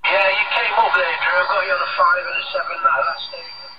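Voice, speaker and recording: A man's voice: sits high, quick pace. British man over noisy CB radio. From United Kingdom. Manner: says "Roger" repeatedly as sign-off. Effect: CB radio transmission. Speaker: CB radio operator. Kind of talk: casual radio contact chat. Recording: noisy, muffled, distant radio transmission.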